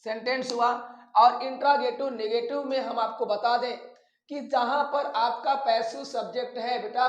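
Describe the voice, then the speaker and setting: A teacher with a North Indian accent explaining grammar in an instructive way, repeating phrases and posing questions to students. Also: medium pitch. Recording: roomy, echoey sound.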